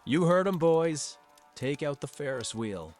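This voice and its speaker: A man playing a loyal, gruff henchman in charge of guards in an audio drama, obedient and combative. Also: a little high, animated, measured pace, darkish tone, faint music behind. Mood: barking orders.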